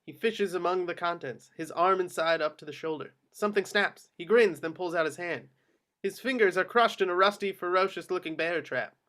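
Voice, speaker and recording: Fairly high-pitched man reading stage directions. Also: normal speed, a bit bright. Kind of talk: scripted narration at a table read.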